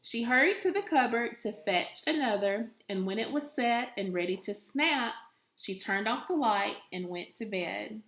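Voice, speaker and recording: A woman reading a children's storybook aloud with deliberate, expressive phrasing, like a teacher with a young class. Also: on the high side. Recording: echoey room.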